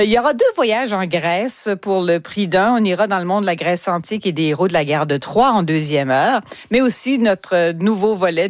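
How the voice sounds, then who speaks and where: A woman's voice: lowish voice, no echo. A woman with a Québécois French accent hosting a radio science magazine in a studio, chatty and playful as she introduces segments. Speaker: radio science show host. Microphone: studio microphone.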